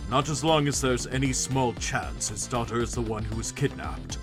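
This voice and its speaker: A man voicing a calm, resolute male detective and father in a game fandub, measured and dry in his delivery. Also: medium pitch, lightly acted. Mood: reasoning, wry. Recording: voice under prominent music.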